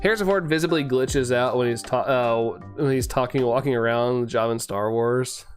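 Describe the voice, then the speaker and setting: A man's voice: medium pitch, normal speed, soft background music. A young man streaming and chatting casually as he reads comments, laughing often, with a voice that keeps giving out. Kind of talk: casual live-stream chat reading comments.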